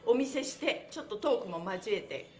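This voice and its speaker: A woman with a notably high voice hosts an exercise livestream in a roomy, echoing space. She is cheerful and encouraging, talking in a chatty way as she guides each movement. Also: animated, a little fast, darkish tone. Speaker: stretch class instructor and host. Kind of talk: livestream exercise lesson, counting with chanted phrases. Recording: echoey room.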